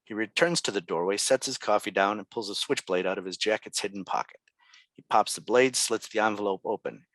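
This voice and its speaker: A man narrates a script at a table read, reading out the scene directions. His voice is slightly low and recorded close and dry, with very little room sound. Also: fairly quick, a bit bright, no room sound. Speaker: stage directions reader.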